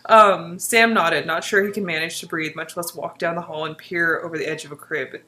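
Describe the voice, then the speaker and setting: A woman's voice: on the low side, fairly even intonation, normal speed, bright, forceful tone, slight background noise. A woman reading a book excerpt aloud informally, with a playful, giggly manner and off-the-cuff asides. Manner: giggly, playful, often breaking off.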